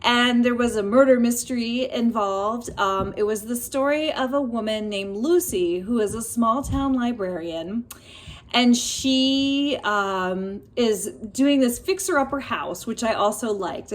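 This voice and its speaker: A woman talking casually and expressively about books and telling personal stories, often pausing mid-thought as a vlogger does. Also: fairly high pitch. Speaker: book reviewer vlogger. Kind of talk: casual chatty book review and personal storytelling.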